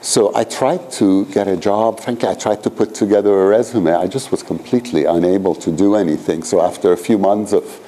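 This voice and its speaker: A very deep, mellow-voiced man in his sixties, originally from Iran, lecturing a graduate seminar in a lecture room. He rambles casually, leaning on "you know" and "frankly" as he goes. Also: fairly quick, few pauses, a little hiss or hum, low-quality recording. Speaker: professor leading a seminar.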